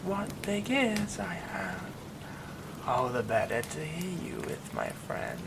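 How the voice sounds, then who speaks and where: A man voicing a menacing yandere werewolf who is an old childhood friend, in an ASMR roleplay, talking in slow, soft, threatening whispers. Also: sits high, very animated, creaky, background noise, voice pushed up, exaggerated voice.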